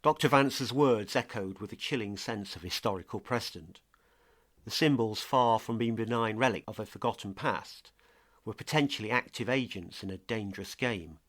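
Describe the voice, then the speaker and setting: A man playing a grave investigative podcast presenter, narrating a scripted mystery in a measured, dramatic way. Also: lowish voice, a bit bright. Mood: ominous.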